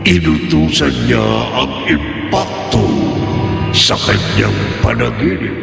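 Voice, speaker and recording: A man's voice: lowish voice, sing-song, slow, gravelly, a bit bright. A man narrating a horror audio drama as its host, in a theatrical, ominous style. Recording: heavy echoing reverb over music.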